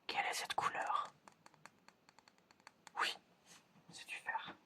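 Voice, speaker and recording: A man speaking in a breathy, unvoiced whisper, playing an examiner in an ASMR roleplay with hesitant, repetitive, teasing patter. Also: slow pace.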